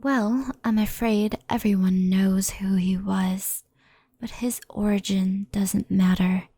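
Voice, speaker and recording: A woman voicing a stern, composed fox-spirit in an ASMR roleplay, speaking softly in a measured, formal, admonishing way. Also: mid-range voice, fairly even intonation, no echo, studio quality. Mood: calm, explaining. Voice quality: breathy, soft. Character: stern, composed female fox-spirit yokai, cautious and scolding.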